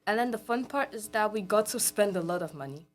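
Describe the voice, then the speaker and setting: A woman's voice: medium pitch, expressive, fairly quick. A teenage girl raised in Cameroon, a student guest answering in short, plain replies. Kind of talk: casual podcast chat.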